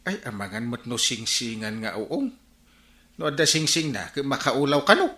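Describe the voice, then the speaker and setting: A man playing a comical, excitable village captain full of exclamations in an Ilocano radio comedy drama. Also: medium pitch, normal speed. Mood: incredulous. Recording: echoing radio drama, birdsong behind.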